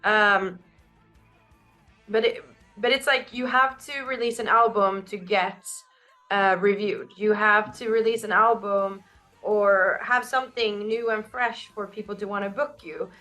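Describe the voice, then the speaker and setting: A woman singer with a naturally raspy voice, answering interview questions in a loose, candid way, full of "like" and "you know". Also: mid-range voice, steady tone, a little slow, sharp tone, faint music behind. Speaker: band singer.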